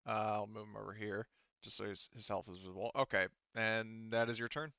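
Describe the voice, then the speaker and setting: A man's voice: lowish voice, little pitch movement, brisk, vocal fry, pushed and bright, smooth, dry recording, highs cut off. A man acting as game master, talking casually as he referees and narrates a tabletop game and explains rules.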